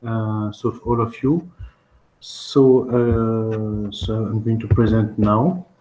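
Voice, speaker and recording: A man's voice: on the low side, little pitch movement, slow, dark tone, a little hiss or hum, poor audio. Frenchman presenting, with hesitant restarts. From France. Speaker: presenter, admissions test-prep consultant. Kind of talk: informal online webinar presentation.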